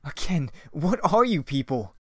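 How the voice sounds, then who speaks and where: A man's voice: fairly high pitch, very animated, unhurried, no room sound. A man voicing a confused, anxious young human in an amateur roleplay.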